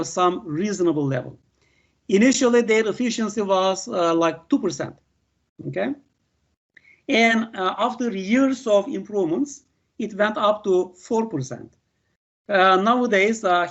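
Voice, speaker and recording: An older man with a Turkish accent, a university lecturer giving an online lecture, unhurried, with many fillers and "okay" checks. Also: high voice, fairly bright tone. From Turkey. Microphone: computer mic on video call. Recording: dull lo-fi video-call audio.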